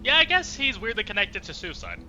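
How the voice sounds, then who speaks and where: A man casually commenting on a game he plays, chatty and excitable, joking and swearing at the characters. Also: high-pitched, a little fast, highs cut off, a little hiss or hum. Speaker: let's play host.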